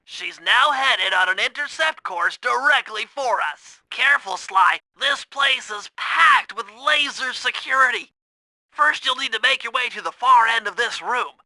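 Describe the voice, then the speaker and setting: A man's voice: very high-pitched, measured pace, pushed and bright, no room sound, heavily acted, rough recording. A male actor playing a nerdy, anxious young turtle tech genius in a video game, with a nasal voice and fussy, precise delivery. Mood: earnest, instructive.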